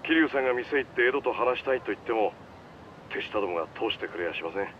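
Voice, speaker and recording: A man's voice: mid-range voice, animated, fast talker, close to an everyday voice. A man voicing a shrewd, polite, easygoing underworld informant in a video game cutscene, explaining things casually over the phone. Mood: casual, cautionary. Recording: dull phone-call sound with street noise.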